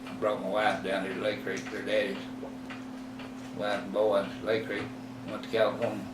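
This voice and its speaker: A man in his eighties, slowly recalling his past in an interview, rambling and halting with many fillers and self-corrections. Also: on the low side, completely flat delivery, over music. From Texas. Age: 80s. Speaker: oral history interviewee. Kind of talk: reminiscing in a recorded interview. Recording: roomy, distant mic with clear background noise.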